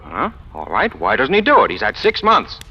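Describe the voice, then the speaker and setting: A man's voice: mid-range voice, wildly swooping pitch, moderate pace, slightly rough. An American man playing a cynical, self-assured racketeer in a 1940s radio crime drama, with wry, slangy tough-guy talk. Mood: cynical, needling. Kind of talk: 1940s radio crime drama acting, first-person narration and dialogue. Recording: muffled old radio recording, music.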